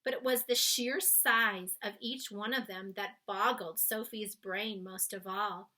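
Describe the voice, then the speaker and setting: Female children's read-aloud narrator, bright tone, unhurried delivery. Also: mid-range voice, steady tone. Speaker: storybook reader.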